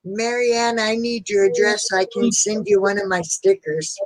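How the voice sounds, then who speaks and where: A woman's voice: medium pitch, steady tone, moderate pace, no echo, rough recording. A woman who is a guest on a live video chat, friendly and helpful and asking lots of questions. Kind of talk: casual chat. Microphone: video call.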